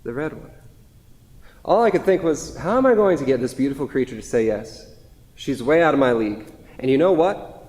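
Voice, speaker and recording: A man's voice: mid-range voice, lively intonation, a little fast, a little mellow, natural voice. Wistful husband read by a man, reverberant hall. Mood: fond, nostalgic. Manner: reminiscing, conversational. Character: Paul, wistful, self-deprecating, devoted husband on his anniversary. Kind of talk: staged reading of a stage play.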